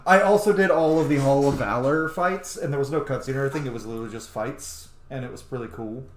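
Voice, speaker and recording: A man's voice: medium pitch, fast talker, a little hiss or hum. A man giving casual, informal and opinionated gameplay commentary as a let's play host. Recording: roomy echo, loud game music behind. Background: game music and sound.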